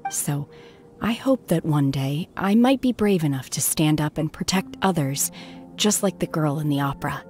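Woman as a low-voiced, solemn young hermit. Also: darkish tone, close to an everyday voice. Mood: earnest, subdued. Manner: stoic, measured, formal. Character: reserved, solemn young woman raised by immortals. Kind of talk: anime game voice acting. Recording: faint game music behind.

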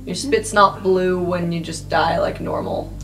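A woman's voice: fairly low pitch, fairly even intonation, unhurried, creaky, recorded from a distance. A woman improvising casual in-character chat as a player in a tabletop game. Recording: music behind the voice.

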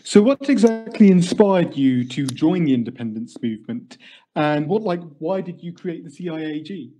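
A man's voice: fairly high pitch, animated, moderate pace, dark tone, faint music behind, poor audio. A man moderating an online Q&A, reading a prepared introduction. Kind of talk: hosting an online Q&A.

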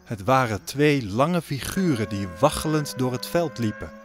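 A man's voice: mid-range voice, animated, moderate pace. A man narrating a scripted audio drama as a storyteller. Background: music under the voice.

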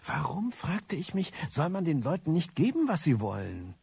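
An actor playing a disillusioned, bitter loner, narrating a radio drama in a husky, articulate voice. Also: male voice, a little high, wildly swooping pitch, normal speed, darkish tone, no room sound, exaggerated voice. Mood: bitter reflection. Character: disillusioned, bitter male loner, obsessive computer eavesdropper.

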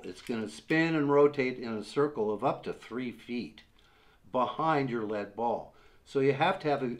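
An older man in his sixties, slowly and patiently explaining a fishing rig step by step, seated at a table in a small room. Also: mid-range voice. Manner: slow, patient step-by-step explaining with many uhs. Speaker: fishing instructor. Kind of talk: how-to demonstration. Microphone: camera in front at desk distance.

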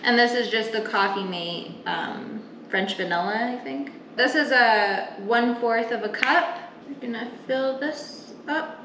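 A young woman talks through a cooking demo at a slightly unhurried pace. Her delivery is informal and explanatory, recorded in a roomy, echoey kitchen with some noise behind her. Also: fairly high pitch. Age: young adult. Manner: informal, explanatory, talking while working with her hands. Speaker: home cooking vlogger. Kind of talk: casual step-by-step cooking demonstration. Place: home kitchen, indoors. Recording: roomy kitchen echo, clear background noise.

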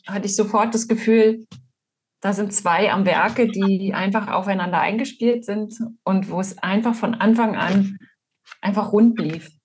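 A woman's voice: medium pitch, husky. A woman in her forties from eastern Germany, answering conversationally as a guest author on a video call. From Potsdam, Germany. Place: room indoors, on a video call.

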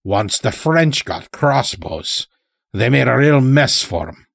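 A man's voice: medium pitch, sing-song, slow, creaky, no room sound, clean studio sound, pitched above the performer's own voice, full character voice. A man voicing a folksy, taunting old elder in an audio story, chatty and needling with rustic phrasing. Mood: musing, needling. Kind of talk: dramatic audio story character.

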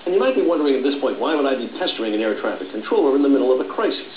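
A man's voice: mid-range voice, sing-song, very fast. Male news correspondent, middle-aged, American accent, reporting style, muffled echoey TV capture. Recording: dull roomy TV sound filmed off screen, noisy.

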